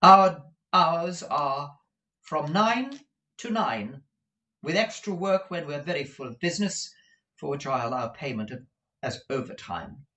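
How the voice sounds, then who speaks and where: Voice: mid-range voice, expressive, measured pace, pushed and bright, voice pushed up. A reader voicing an imperious, proper woman who runs a business, formal and emphatic in manner, in a storybook. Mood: businesslike. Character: imperious businesswoman, brisk, proper shop proprietress wife.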